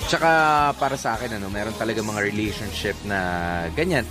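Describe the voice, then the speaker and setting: A man's voice: on the low side, lively intonation, a little fast, music in the background. A man with a Filipino accent co-hosting a radio show, giving casual relationship advice in a conversational way, acting out examples and switching between languages. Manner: conversational, illustrates points with acted-out examples. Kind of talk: casual relationship advice chat with Tagalog-English code-switching.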